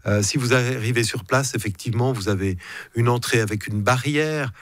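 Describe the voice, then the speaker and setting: Middle-aged man, mid-range pitch, halting, careful radio guest. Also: dry recording. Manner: measured, hesitant, many fillers and restarts. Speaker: council president interviewed as guest. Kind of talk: radio interview.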